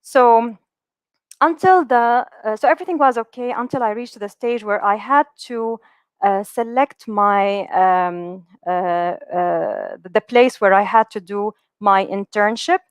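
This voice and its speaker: Woman, 30s, from the UAE, earnest halting webinar speaker, smooth voice, middle pitch. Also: no echo. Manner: many fillers and restarts, earnest. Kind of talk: motivational webinar talk.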